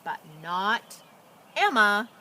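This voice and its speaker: A woman reading aloud as a children's storyteller, with expressive, dramatic emphasis and casual delivery. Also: mid-range voice, slight background noise. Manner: animated, dramatic emphasis and drawn-out words.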